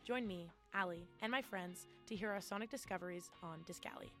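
A woman host promoting her own music radio show in a brisk, eager way. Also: lowish voice, a bit bright.

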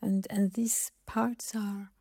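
A woman artist talking slowly in a gallery and searching for her words. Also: mid-range voice, flat delivery, creaky, dark tone, no echo, clean studio sound. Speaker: interviewing artist. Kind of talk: gallery conversation. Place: gallery space.

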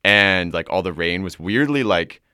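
Young male guest, very low voice, crisp and dry, casual rambling chat. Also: measured pace, no room sound. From Hong Kong. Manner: relaxed, thinks aloud with many fillers.

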